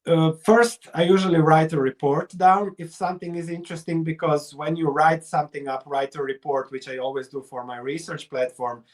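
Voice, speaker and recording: A middle-aged man from Croatia speaks with a Croatian accent in a somewhat high voice. He sounds like an interview guest, laying out his reasoning step by step with examples. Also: steady tone, normal speed, few pauses. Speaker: investor and researcher, interview guest. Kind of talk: explanatory interview talk.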